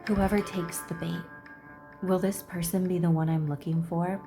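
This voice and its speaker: A woman doing casual game commentary and reading lines aloud, expressive, blunt and sassy. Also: fairly low pitch, moderate pace, over music. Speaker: video creator reacting to a game.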